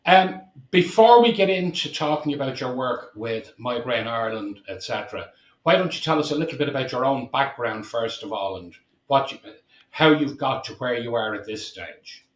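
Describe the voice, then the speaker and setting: A man's voice: mid-range voice, expressive, moderate pace. A man hosting a chatty interview show, presenting announcements and putting questions to a guest. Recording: echoey room sound.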